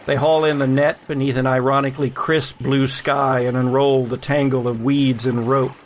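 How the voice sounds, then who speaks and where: A man's voice: mid-range voice, flat delivery, normal speed, a little hiss or hum. A man narrating a scripted audio drama in a dramatic storytelling style. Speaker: narrator and game master.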